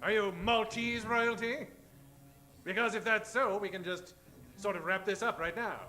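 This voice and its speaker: A man's voice: high voice, fairly even intonation, normal speed, sharp tone. A man playing a wisecracking, theatrical detective hero in an improvised old-time radio parody. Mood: wry, confident.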